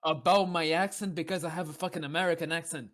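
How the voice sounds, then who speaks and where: Young guy from brazil, bit higher voice, swears a lot, keeps restarting his sentences. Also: fairly even intonation, heavy background noise. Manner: swears casually, halting restarts. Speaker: podcast co-host. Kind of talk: casual chat.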